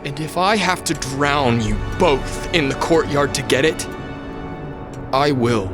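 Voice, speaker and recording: A man's voice: fairly high pitch, slow. A young man playing an aggrieved, volatile heir in a period audio drama, petulant and combative in manner. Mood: bitter, threatening. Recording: loud music under the voice.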